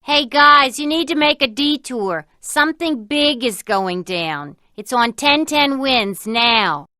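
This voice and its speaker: An actress voicing a wisecracking, world-weary female office receptionist in a video game, with a deadpan, sarcastic delivery. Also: high-pitched, slow pace, dry recording, clean studio sound, exaggerated voice. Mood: insistent. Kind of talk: scripted video game dialogue.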